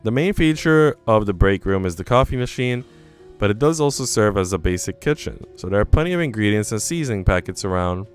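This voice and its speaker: A man's voice: on the low side, lively intonation, a little fast, clean tone, faint music behind. A man reading a visual novel aloud as a let's player, acting out narration and dialogue and dropping in his own asides. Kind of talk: expressive read-aloud of story narration and dialogue.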